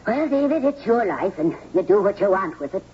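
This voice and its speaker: A motherly older woman acted by a female performer speaks frankly, with gentle disapproval. The voice comes through a muffled old radio recording with some faint noise. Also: mid-range voice, very animated, fairly quick, lightly acted. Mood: resigned. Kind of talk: 1970s radio drama acting.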